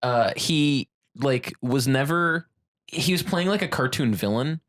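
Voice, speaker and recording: A man's voice: medium pitch, expressive, measured pace, fairly bright tone. A man co-hosting a podcast, chatting casually about films in a rambling way with mock quotes. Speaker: podcast co-host. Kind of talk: casual film discussion.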